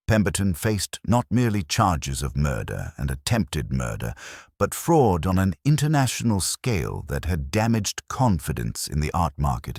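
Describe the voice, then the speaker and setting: Very deep-voiced male narrator. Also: no room sound, clean studio sound. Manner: measured, formal storytelling. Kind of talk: scripted audiobook narration.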